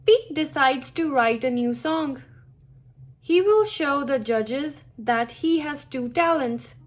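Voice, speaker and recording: A woman reading a picture book aloud to young children, with expressive, deliberate phrasing. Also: high-pitched. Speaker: children's story reader.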